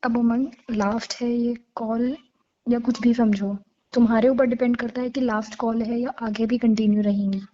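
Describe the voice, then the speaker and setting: Woman acting a remorseful young girlfriend, mellow and high. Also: steady tone, moderate pace, close to an everyday voice. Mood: resigned. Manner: earnest, pleading. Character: remorseful young woman pleading love to her hurt boyfriend. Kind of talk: intimate phone-call relationship drama. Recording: dull lo-fi call recording.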